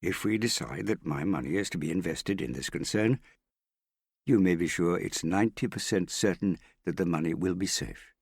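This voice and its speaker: A male narrator plays a vain middle-aged father with a gravelly, swooping voice. He talks in a pompous, affected way, wheedling and pitying himself like a character who performs every line in a storybook drama. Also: sits low, very animated, normal speed, no echo, clean studio sound, pitched above the performer's own voice, heavily acted. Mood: smug, reassuring. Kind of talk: audiobook character dialogue.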